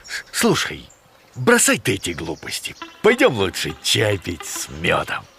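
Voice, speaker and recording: A man's voice: fairly low pitch, wildly swooping pitch, a little slow, vocal fry, bright, forceful tone, breathy edge, heavily acted. A man voicing a sensible, good-natured bear in a children's cartoon, friendly, coaxing and mildly puzzled. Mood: coaxing, resigned. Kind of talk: animated children's cartoon acting.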